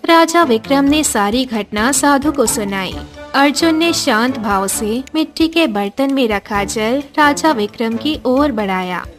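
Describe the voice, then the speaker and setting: A woman's voice: sits high, lively intonation, unhurried. A woman reading scripted narration for a storybook cartoon, with music behind her. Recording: background music under the voice.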